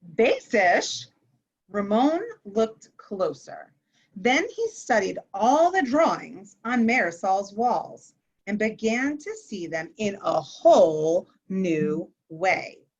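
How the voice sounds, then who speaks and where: A woman's voice: mid-range voice, sing-song, slow, slightly rough. A woman guest reader on a children's story show, reading a picture book aloud with expression. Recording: muffled lo-fi audio.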